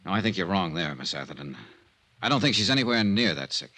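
An actor playing a cool, wry American investigator in a 1950s radio detective serial, understated and conversational. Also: male voice, low-pitched, sing-song, fast, bright, forceful tone, exaggerated voice. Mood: probing, measured. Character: cool, wry hard-boiled male investigator.

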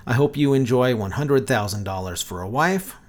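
A man's voice: medium pitch, lively intonation, moderate pace. A man hosting a podcast, giving a spoken introduction as the director of the show.

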